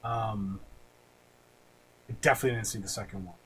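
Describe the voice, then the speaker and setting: A man's voice: lowish voice, a little fast, a bit bright, slight background noise, rough recording. A man co-hosting a podcast in casual chat, blunt and slangy, swearing freely. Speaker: podcast co-host. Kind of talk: casual chat about video game movies.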